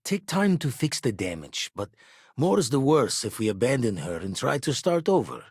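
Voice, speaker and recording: A seasoned spaceman and former pirate for a video game. His voice is husky and very expressive in pitch, recorded dry and close. He talks in clipped, folksy phrases, gruff and world-weary but loyal. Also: moderate pace, dry recording. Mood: steady, weathered. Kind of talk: video game voice acting, dramatic dialogue.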